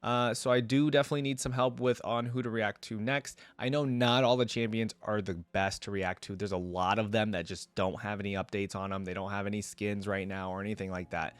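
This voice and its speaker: A man's voice: on the low side, steady tone, a little fast, few pauses, clear, resonant tone, dry recording. A man hosting a reaction video, giving informal, conversational commentary straight to viewers.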